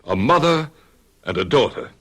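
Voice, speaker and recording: An actor playing a calm, inquisitive doctor in a radio drama, speaking in a measured, reassuring way. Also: male voice, medium pitch. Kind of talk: 1970s radio drama acting.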